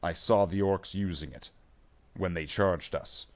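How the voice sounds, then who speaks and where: A man's voice: deep voice, a little slow. A man voicing a wounded, stoic space soldier sergeant in a story, solemn and commanding. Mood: urgent.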